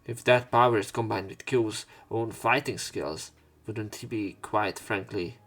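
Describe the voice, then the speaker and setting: Low-pitched man narrating a game. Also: measured pace, fairly bright tone. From Hungary. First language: Hungarian. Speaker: let's play narrator. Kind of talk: dramatic read-aloud narration and casual commentary. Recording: game music behind the voice.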